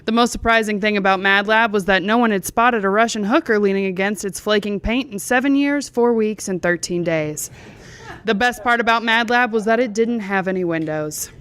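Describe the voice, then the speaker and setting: A woman's voice: medium pitch, moderate pace, fairly bright tone, clear, resonant tone. A drunk woman reading a bawdy comic story aloud as a storyteller.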